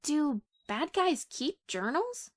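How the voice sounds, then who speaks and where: A woman's voice: high voice, very animated, takes it slowly, dry recording, clean studio sound, heavily acted. A woman voicing a loyal, playful young mare with a casual manner in a cartoon-style comic dub. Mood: breezy, supportive. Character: breezy, loyal young adult mare, supportive sister-in-law.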